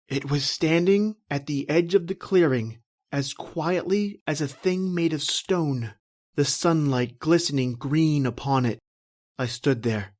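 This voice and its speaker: A woman playing a panicked, bewildered husband lost in a nightmare, with halting, theatrical delivery in an old-style horror radio drama. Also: low-pitched. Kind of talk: dramatic first-person horror radio narration and acting.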